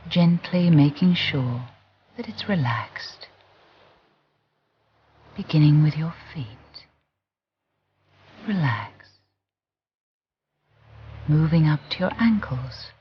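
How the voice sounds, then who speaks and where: A soothing, gentle voice guiding a relaxation and visualisation exercise, deliberate and with long pauses. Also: medium pitch, a little hiss or hum. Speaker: guided relaxation instructor. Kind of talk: guided visualisation narration. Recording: muffled recording with music behind. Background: background music.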